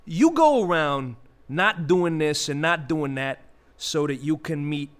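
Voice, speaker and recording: A brash male vulture from a comic radio play, pitched high and swooping widely. He mocks and wisecracks like a streetwise small-time mobster. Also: animated, measured pace. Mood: mock confusion. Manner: mocking, wisecracking, streetwise slangy talk.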